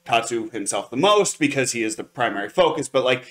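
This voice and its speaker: A man's voice: medium pitch, lively intonation, brisk, a bit bright. A man co-hosting a review show, chatting casually and enthusiastically in a hedging, conversational way. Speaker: podcast co-host reviewing anime.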